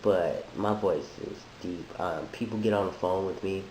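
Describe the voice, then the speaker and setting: A man who is sick, talking in a halting, thoughtful way with many restarts, in a reflective personal monologue. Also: deep voice, darkish tone. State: sick, voice deeper than usual. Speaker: vlogger sharing a transition update. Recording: echoey room with faint hiss.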